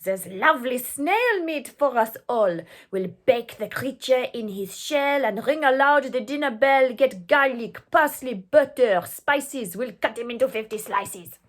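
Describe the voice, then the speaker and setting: A gleeful, ravenous French crowd from a children's storybook, put on by a woman reading aloud. The voice is pitched high, comes across with a mock French accent, and shouts each word out slowly. It swings widely up and down in pitch. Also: big pitch swings, full character voice. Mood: gleeful hunger. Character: mob of greedy, excitable French townsfolk.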